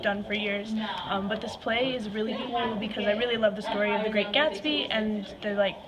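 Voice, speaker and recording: A woman's voice: mid-range voice, steady tone, fairly quick. A woman, an actor in a play, giving a casual interview answer. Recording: very loud crowd chatter around voice. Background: loud crowd.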